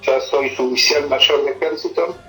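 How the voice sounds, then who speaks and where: A middle-aged man with an Argentine accent answers interview questions informally, recalling his life in a halting way with repeated words. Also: mid-range voice, steady tone. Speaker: army senior non-commissioned officer being interviewed. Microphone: telephone. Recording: phone call interview with music.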